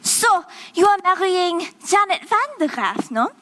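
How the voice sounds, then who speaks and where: A young actress doing a young woman badly faking a French accent, stammering through her made-up story. Her voice is high and swooping, and she talks slowly. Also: big pitch swings, a bit bright, exaggerated voice. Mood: flustered pretending. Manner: flustered, hesitant, fake French accent. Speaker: child actor in a musical.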